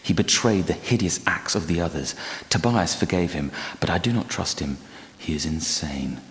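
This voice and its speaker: An actor playing a proud, sorrowful, devout guardian knight in a video game, with grave delivery and formal, archaic diction. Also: male voice, sits low, moderate pace. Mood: scornful. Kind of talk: dramatic video game character acting. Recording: long cavernous hall reverb.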